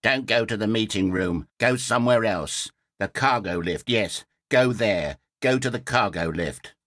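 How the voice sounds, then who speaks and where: A man's voice: on the low side, measured pace, a bit bright, no echo, clean studio sound. A man voicing a bossy talking bucket. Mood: urgent. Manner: urgent, commanding. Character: talking bucket giving insistent orders.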